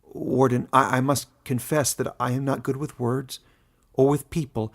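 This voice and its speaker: A man voicing a young outcast log splitter in a fantasy story, emotional and halting, bitter yet humble. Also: medium pitch, steady tone, gravelly. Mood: ashamed, apologetic. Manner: halting, emotional, stammering apologies and protests.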